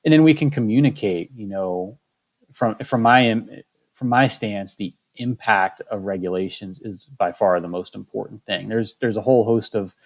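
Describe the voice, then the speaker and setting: A man's voice: on the low side, moderate pace. A man speaking as a state wildlife biologist in a candid interview, choosing his words carefully with frequent restarts. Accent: Michigan.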